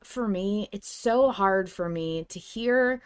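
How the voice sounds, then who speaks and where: A woman hosting a podcast, chatting casually and debating in an animated, playful way. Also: medium pitch, takes it slowly, clear, resonant tone. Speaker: podcast host. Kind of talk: casual chat about an audio drama.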